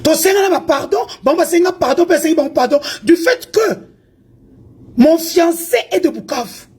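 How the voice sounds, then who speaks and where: A woman from Congo speaks in a high, pointed voice. She is heated and confrontational, taunting her target as she mixes in a second language. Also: lively intonation, moderate pace, a little mellow. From Congo (DRC). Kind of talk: heated online rant.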